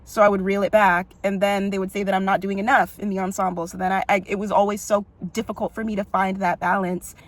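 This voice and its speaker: A young woman with a big, expressive voice talks through her own story in a casual vlog. She speaks with feeling, often saying "like" and breaking into laughs. Her pitch sits in an ordinary middle range. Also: quick pace, hardly any pauses. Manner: expressive and emotional, frequent "like" fillers and laughs. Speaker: vlogger and musical theatre performer.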